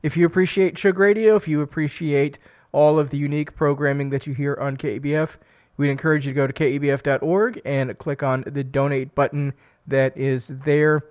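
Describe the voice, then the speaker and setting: A man's voice: on the high side, fairly even intonation, brisk, a little mellow. A man hosting a community radio music show, chatty and upbeat, fighting a cold. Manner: upbeat, chatty, self-deprecating, with fillers and restarts. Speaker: radio host. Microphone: studio broadcast microphone.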